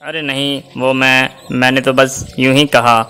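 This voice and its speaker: Smooth, sly cartoon thief bird done by a man. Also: mid-range voice, flat delivery, a little slow, pushed and bright. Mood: flustered. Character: sly, defensive female thief bird neighbour. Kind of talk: children's cartoon voice acting.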